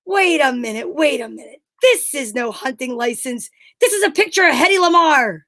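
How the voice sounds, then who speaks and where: A woman's voice: high-pitched, animated, normal speed, a bit bright. A woman playing an exasperated, bossy straight man in an old-time radio comedy sketch, scolding and questioning with disbelief.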